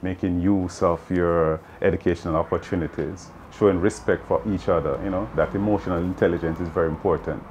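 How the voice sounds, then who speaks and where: A man's voice: low voice, a little mellow, slight background noise. A Jamaican man in his fifties, a math teacher earnestly explaining his views in an interview, often saying "you know". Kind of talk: interview answers. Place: open veranda outdoors.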